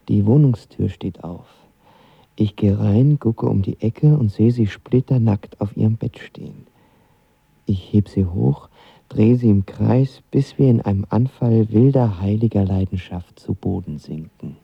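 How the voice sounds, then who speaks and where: Low-voiced, husky lovestruck young guy. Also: no echo, muffled recording, full character voice. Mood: excited, confiding. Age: young adult. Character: lovestruck young actor of twenty, impulsive and eager. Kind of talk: radio drama narration and dialogue.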